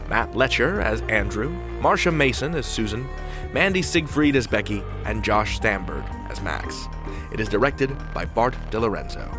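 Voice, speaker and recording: A man hosting a podcast, speaking in a playful, theatrical announcer's way with deliberate pauses. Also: mid-range voice, a bit bright. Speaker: podcast host. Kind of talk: scripted podcast narration and interview.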